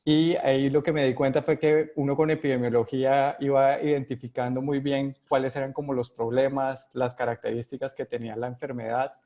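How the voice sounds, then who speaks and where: A middle-aged Colombian man speaks in a flat, even tone, his voice dark and mellow. He hesitates often and restarts phrases. The sound is dull and lo-fi. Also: mid-range voice, fairly quick, hardly any pauses. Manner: hesitant, many fillers and restarts. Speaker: guest epidemiologist. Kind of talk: interview answers. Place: home.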